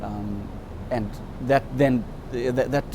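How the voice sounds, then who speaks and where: A man's voice: medium pitch, fairly even intonation, takes it slowly, darkish tone, a little hiss or hum. A man in his fifties from Zimbabwe, telling his life story in an interview in a candid, reflective way. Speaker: interviewee, subscriber.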